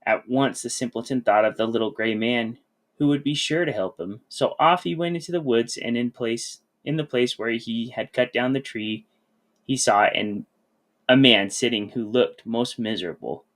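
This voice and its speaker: Man reading a fairy tale, medium pitch. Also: normal speed, a bit bright. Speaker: storybook reader. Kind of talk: scripted fairy tale read-aloud.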